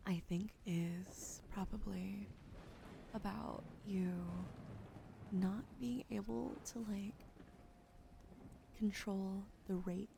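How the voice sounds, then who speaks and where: A woman livestreaming a soft-spoken ASMR card reading, often slipping into a whisper, chatty and affectionate with her viewers, close to a desk mic. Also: mid-range voice, gravelly, background noise. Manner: soft, gentle, partly whispered delivery. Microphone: close desk microphone.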